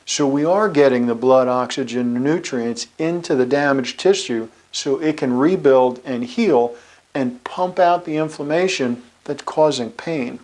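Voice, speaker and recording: A man, a chiropractor, giving an explanatory talk with slides, measured and slowing on key terms. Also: mid-range voice, a little mellow.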